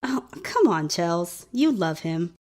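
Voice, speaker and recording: An actress playing a teasing, loyal young maid of honor with playful, sardonic banter in an audio drama. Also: female voice, fairly low pitch, big pitch swings, slow, darkish tone.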